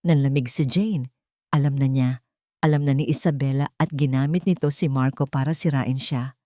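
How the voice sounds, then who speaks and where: A woman's voice: a bass voice, steady tone, moderate pace, dark tone, no echo, clean studio sound. Woman narrating a dramatic love story.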